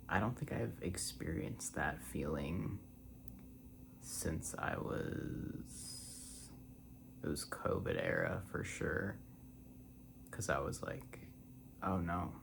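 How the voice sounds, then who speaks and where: A man in his twenties rambling casually in a soft-spoken ASMR style, drifting between a breathy murmur and a half-whisper. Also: sits low, big pitch swings, slow pace, long pauses, background noise. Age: 20s. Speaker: ASMR creator.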